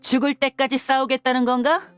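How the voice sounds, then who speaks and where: A woman plays a tough young mercenary in a video game, curt, challenging and sure of herself. Her voice sits slightly high, with faint music behind it. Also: steady tone, fairly quick, clean tone, close to an everyday voice. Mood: scornful. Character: tough, blunt young female desert mercenary. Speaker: voice actor in a game dub.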